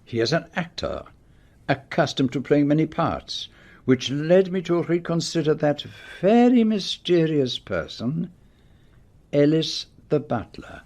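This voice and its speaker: A man acting in a radio mystery drama as a shrewd, methodical detective who speaks precisely and deliberately, with theatrical pauses. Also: on the high side, expressive, a little mellow. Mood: dramatic, triumphant. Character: shrewd, methodical detective explaining his solution.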